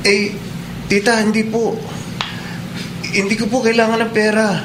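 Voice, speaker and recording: A man's voice: high voice, little pitch movement, measured pace, background noise. A man playing a polite, hopeful young man in a Filipino radio drama, earnest and deferential. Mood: eager. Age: young adult.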